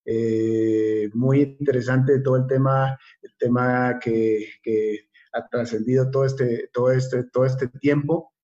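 An Ecuadorian man in his fifties speaks at a middle pitch over a slightly dull video-call line. He talks haltingly, stumbling over and repeating words, with plenty of fillers between thoughts. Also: fairly even intonation, dark tone. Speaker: guest.

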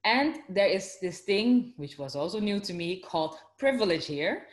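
A woman's voice: mid-range voice, sing-song, moderate pace, echoey room. A woman with a Dutch accent, chatting casually in an interview with lots of fillers and restarts. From Netherlands.

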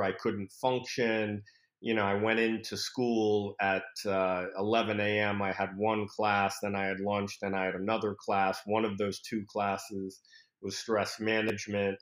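A man born in France, a podcast guest who talks reflectively with fillers and restarts. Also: fairly low pitch. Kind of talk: conversational interview. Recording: roomy echo.

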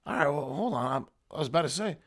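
A man's voice: on the high side, lively intonation, fast. A man co-hosting a casual podcast, teasing and ribbing the others. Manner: teasing, ribbing his co-hosts. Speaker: podcast co-host. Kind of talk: casual chat.

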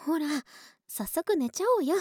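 A woman's voice: high-pitched, animated, moderate pace, warm tone, dry recording, clean studio sound. A woman playing a friendly, clingy older-sister type neighbor in a cutesy, coaxing, intimate ASMR voice drama. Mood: playful, coaxing. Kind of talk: Japanese ASMR situation voice drama acting.

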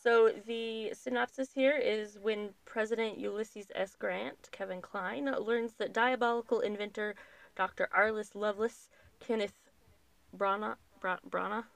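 A woman in her thirties co-hosting a podcast, reading out details in an even, measured way. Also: mid-range voice, creaky, dry recording. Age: 30s. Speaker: podcast co-host. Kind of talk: casual chat, reading notes aloud.

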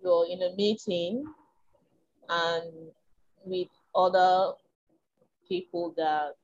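Small-voiced Nigerian woman, low pitch. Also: steady tone, very slow, highs cut off, a little hiss or hum. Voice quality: small, tiny voice. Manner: reflective, frank, with filler ums and soft laughs. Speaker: interview guest. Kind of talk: candid conversational chat.

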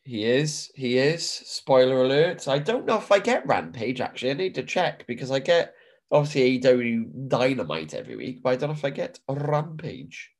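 A man's voice: mid-range voice, big pitch swings, moderate pace. Bunged-up British man chatting. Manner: chatty, swears casually, says "bro" and "mate". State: stuffed-up nose from hay fever. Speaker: podcast co-host. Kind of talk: casual chat.

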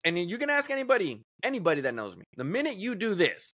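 Lively, bright-voiced male podcast host. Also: sits high, fast, no room sound, clean studio sound. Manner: emphatic, repeats words when starting a point. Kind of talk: casual podcast chat.

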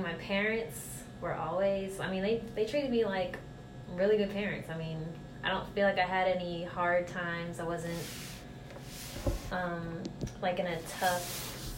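A woman in her thirties with a slightly low voice answers questions about her childhood in a relaxed, chatty way. The room echoes noticeably. A steady hiss runs under everything. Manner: relaxed, chatty, laughs easily. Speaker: interview guest. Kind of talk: casual conversational interview.

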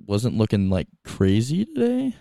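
A young man in his twenties, co-hosting a casual podcast. His voice is low and mellow, and he talks in a relaxed way with plenty of fillers. The recording is dry and close. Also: measured pace, gravelly, no room sound. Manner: laid-back gym talk, many "like" fillers. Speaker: podcast co-host. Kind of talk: casual chat.

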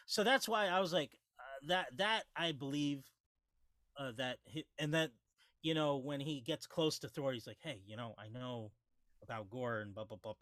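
A man's voice: medium pitch, normal speed, a bit bright, dry recording. A man co-hosting a movie review podcast, rambling casually with lots of restarts and fillers. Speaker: podcast co-host.

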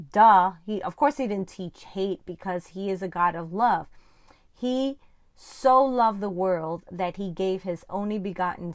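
Spanish accented lady, native spanish speaker, hosting a casual bible podcast, earnest and chatty, average pitch, really dry recording. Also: no echo. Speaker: podcast host and Bible teacher.

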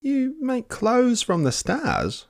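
A man's voice: very high-pitched, wildly swooping pitch, slow, vocal fry, pitched above the performer's own voice, heavily acted. A man voicing an eager, curious young child who exclaims breathlessly. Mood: keen.

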